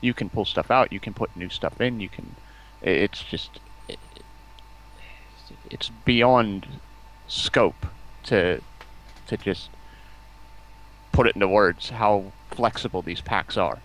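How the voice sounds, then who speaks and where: A man talking casually on a stream, explaining things in halting, pieced-together phrases. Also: fairly low pitch, fairly bright tone, poor audio.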